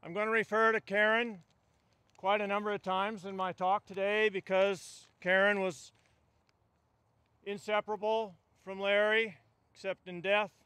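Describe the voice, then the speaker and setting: A man's voice: high voice, little pitch movement, a little slow, bright, forceful tone, smooth, dry recording. An older man, a union delegate, giving a funeral eulogy outdoors at a stand mic, with a wry storytelling delivery. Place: outdoors at a funeral gathering among trees.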